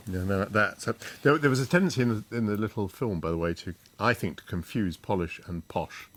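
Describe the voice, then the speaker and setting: A man speaking as a TV panelist in a measured, considered way. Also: on the low side, a bit bright. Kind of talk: studio discussion.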